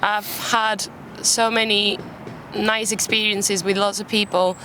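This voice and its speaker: A woman's voice: medium pitch, level tone, slow, bright, forceful tone, low-quality recording. A young woman from Spain, a native Spanish speaker with a British accent, chatting casually as an interview guest. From Málaga, Spain. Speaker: podcast guest. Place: outdoors in a city park. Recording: outdoor urban background noise. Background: faint park and traffic ambience.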